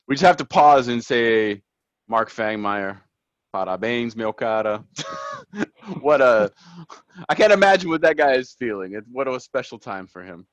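A man's voice: lowish voice, no echo. A man hosting a casual sports podcast, chatty and upbeat, laughing often. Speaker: podcast host. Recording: dull lo-fi sound.

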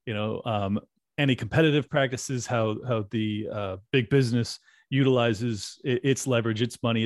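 A man's voice: mid-range voice, no room sound, studio quality. A man hosting a podcast interview, talking casually with restarts and fillers. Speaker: podcast host. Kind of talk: conversational interview.

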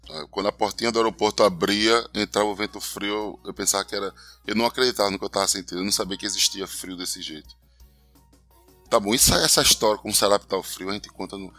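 A man's voice: on the low side, pushed and bright, no room sound. A young man with a Recife accent, co-hosting a casual podcast from his living room, chatty and often asking "entendeu?". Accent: Pernambuco (Recife). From Recife, northeast Brazil. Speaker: podcast co-host.

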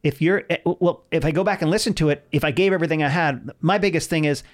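A man in his forties, a stand-up comedian talking casually as an interview guest, telling stories in an animated way and acting out dialogue. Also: on the high side, fast. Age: 40s. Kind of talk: casual conversational storytelling.

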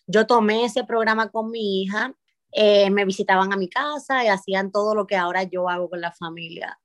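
A woman from Puerto Rico with a Puerto Rican Spanish accent, a guest on a podcast, talking in a reflective way with pauses and restarts. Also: mid-range voice, no room sound. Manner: thoughtful, with frequent pauses and restarts. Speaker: podcast guest, parent coach. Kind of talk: reflective conversational interview.

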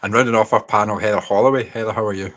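A man's voice: fairly low pitch, steady tone, racing, a bit bright. A man hosting a casual football podcast, putting friendly questions to his panel. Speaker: podcast host. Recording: dull lo-fi podcast audio.

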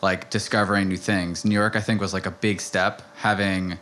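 A man's voice: deep voice, level tone, moderate pace, fairly bright tone, a little hiss or hum. An American man speaking casually as a podcast guest, telling stories about himself in conversation. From suburbs of Philadelphia, United States. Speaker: podcast guest, travel content producer.

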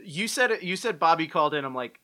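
A man co-hosting a podcast, joking and reacting quickly. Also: fairly high pitch, lively intonation, bright, forceful tone. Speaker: podcast co-host. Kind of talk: casual podcast chat.

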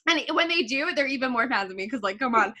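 A woman's voice: a little high, fast talker. A woman in her twenties hosting a podcast, chatting casually, swearing freely and laughing often as she tells stories. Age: 20s. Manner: swears freely, laughs a lot, animated storyteller. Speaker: podcast host.

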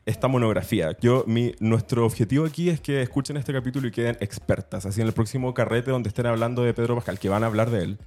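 A man's voice: mid-range voice, fast talker, hardly any pauses, darkish tone. A man with a Chilean accent co-hosting a podcast in a chatty, slangy, enthusiastic way. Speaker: podcast co-host. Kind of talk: casual podcast chat.

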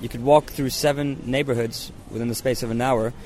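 A man's voice: medium pitch, fairly even intonation, a little fast, darkish tone, low-quality recording. A man from Izmir interviewed at an outdoor cafe, talking casually with small restarts. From Izmir, Turkey. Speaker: tobacco dealer interviewee. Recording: outdoor cafe with traffic noise.

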